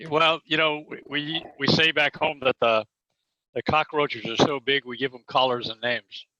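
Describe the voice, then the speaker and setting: New orleans guy, bright punchy voice, jokey and laughing, muffled audio. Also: medium pitch, steady tone, normal speed. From New Orleans, Louisiana. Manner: joking, laughs often. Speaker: meeting participant. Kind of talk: casual chat. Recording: dull lo-fi audio.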